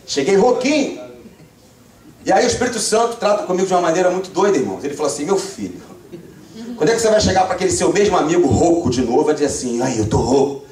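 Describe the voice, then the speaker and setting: A young Brazilian man with a Rio de Janeiro accent, preaching a fervent revival sermon with call-and-response and bursts of shouting. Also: a little high. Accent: Rio de Janeiro Brazilian Portuguese. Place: church sanctuary. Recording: echoey church from far off.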